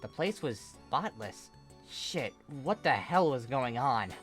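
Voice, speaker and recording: A reader narrating a comic fan fiction story in an expressive, emphatic way. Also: mid-range voice, slow, faint music behind.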